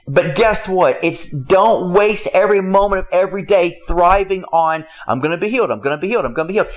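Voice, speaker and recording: A man preaching a motivational sermon, emphatic and repetitive, who asks rhetorical questions and acts out listeners' excuses. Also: sits high. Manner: acts out quoted listeners' excuses in other voices.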